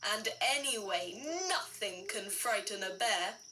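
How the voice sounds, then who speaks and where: A woman voicing a calm, confident father bear, dropping her voice to sound reassuring in a storybook. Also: measured pace, slight background noise. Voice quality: lowered, reassuring.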